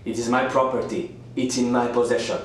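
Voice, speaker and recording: A man's voice: medium pitch, little pitch movement, lightly acted. Man acting smug manipulative houseguest, very roomy. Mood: defensive. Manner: measured, falsely reasonable, condescending. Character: smug, manipulative male houseguest who refuses to leave. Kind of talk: radio thriller acting. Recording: roomy, distant, faint background noise.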